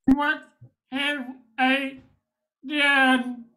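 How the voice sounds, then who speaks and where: A bewildered husband acted by a woman in a radio drama, with a high-pitched voice. The delivery is slow and hesitant, with stammered starts and stretched words. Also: flat delivery, sharp tone, heavily acted. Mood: dazed. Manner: halting, stammering, drawn-out words. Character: befuddled, hesitant husband losing his brain cells. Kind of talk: radio play acting.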